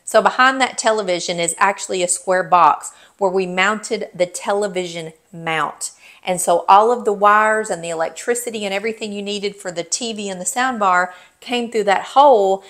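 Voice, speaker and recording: A woman's voice: mid-range voice, a bit bright. A middle-aged woman giving an enthusiastic tour of her renovated living room, gushing and emphatic. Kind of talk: enthusiastic home tour narration.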